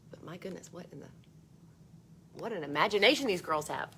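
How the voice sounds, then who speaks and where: Expressive woman storyteller, noisy ambient recording. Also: fairly low pitch. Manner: expressive, speaking directly to young listeners. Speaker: storytime host reading a picture book aloud. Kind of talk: children's read-aloud with chatty asides.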